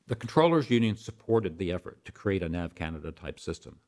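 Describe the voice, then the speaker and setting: A man's voice: deep voice, very animated, brisk, darkish tone. An older man speaking as an expert guest in an interview, explaining in a conversational way and repeating phrases for emphasis.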